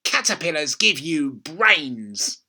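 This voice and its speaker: A horrid old grandmother from a children's storybook. Her throaty, raspy voice swings up and down as she bosses and gloats. She stretches words slowly, with witchy menace. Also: mid-range voice, sing-song, pushed and bright, pitched above the performer's own voice, full character voice. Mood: snappish, bossy. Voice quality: throaty, raspy, whispery at times. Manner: snapping, slow menacing emphasis.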